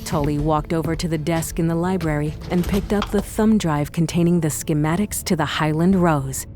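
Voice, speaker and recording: A woman's voice: deep voice, moderate pace. A woman reading scripted third-person narration for an audio drama.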